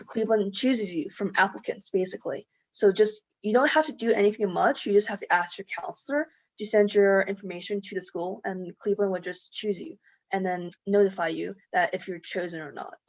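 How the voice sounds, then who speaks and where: A young woman, a student, earnestly explaining school and college advice with frequent "um" pauses. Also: medium pitch. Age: young adult. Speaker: student panelist. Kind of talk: casual Q&A chat. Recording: muffled lo-fi recording.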